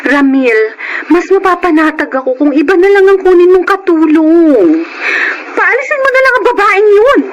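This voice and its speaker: Suspicious, bossy girlfriend in radio drama; high, sharp-tongued female voice, slightly noisy recording. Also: very animated, moderate pace. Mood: jealous. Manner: sharp, sarcastic, scolding. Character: jealous, haughty, suspicious young girlfriend, bossy to the maid. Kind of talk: Tagalog radio drama acting.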